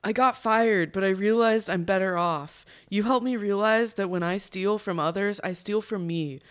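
A naive young office guy read by a woman in a comedy table read. The voice has a medium pitch and a casual, guileless, slightly dejected manner, eager to please. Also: normal speed, natural voice. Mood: earnest.

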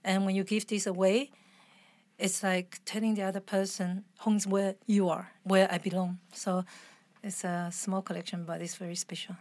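Woman, 30s, easygoing interview chat, lots of restarts, mid pitch, dry sound. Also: little pitch movement, normal speed, darkish tone, no room sound. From Taiwan. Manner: chatty, laughs often, restarts sentences. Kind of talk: casual interview conversation.

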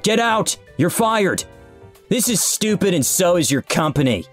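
A brash, combative male executive, voiced by a man telling a story. His delivery is snarky and emphatic, raised in pitch and very animated, over faint music. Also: moderate pace, lightly acted. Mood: enraged. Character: brash male CEO with snarky attitude, combative, game-loving.

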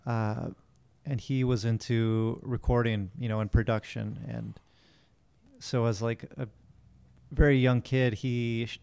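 A man's voice: medium pitch, fairly even intonation, creaky, a little mellow, clear, resonant tone. A man from Miami, a musician, talking in an easygoing, friendly way in an interview. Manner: easygoing, friendly, slightly hurried. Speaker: guest musician. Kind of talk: casual interview.

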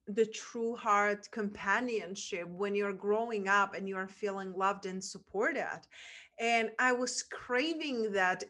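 A woman from the Czech Republic with a Czech accent, talking as a guest on a business podcast in an earnest, effusive way with many fillers. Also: mid-range voice. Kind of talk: casual interview chat.

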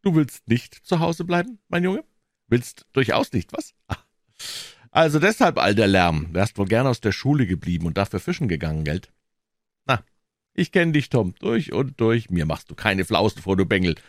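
A man voicing a brisk, tender-hearted elderly aunt who scolds in exclamations in a storybook. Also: fairly low pitch, big pitch swings, dry recording, studio quality. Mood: reproachful.

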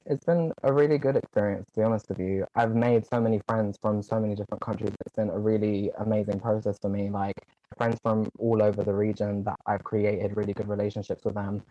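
Young man, interview guest, fast, low voice, earnest, filler-heavy, dry muffled recording. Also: flat delivery, mellow, dry recording. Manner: earnest, talks in quick runs with many "like" fillers. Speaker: guest, online content creator. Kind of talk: casual interview conversation. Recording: slightly dull, muffled sound.

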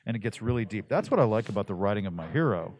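A middle-aged man with a deep, bass-baritone voice talks casually as an interview guest. He is animated, often jumping higher in pitch when he stresses a point, then settling back into his low register. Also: rapid-fire. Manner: animated, jumps up in pitch for emphasis. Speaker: voice actor guest.

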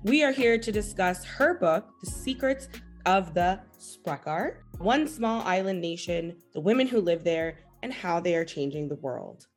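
A woman's voice: lowish voice, expressive, soft background music. A woman hosting a podcast, chatty and playful, greeting and questioning her guest. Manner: chatty, playful, quick reactions. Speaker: podcast host. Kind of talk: podcast interview.

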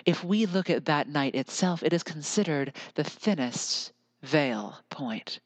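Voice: medium pitch, lively intonation, a little slow, no room sound, low-quality recording. An American podcast host speaking alone in an earnest, musing way, often drifting into lyrical repetition. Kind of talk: reflective solo podcast monologue.

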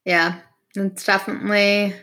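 Relaxed young woman podcast co-host. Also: mid-range voice, unhurried. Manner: relaxed, casual, many "like" and "you know" fillers. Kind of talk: casual podcast chat.

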